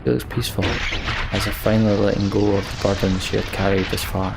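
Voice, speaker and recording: A man's voice: fairly low pitch, fairly even intonation, quick pace, vocal fry, background noise. A man doing a let's play, reading game text aloud and adding informal, enthusiastic asides. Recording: game music behind the voice. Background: game music.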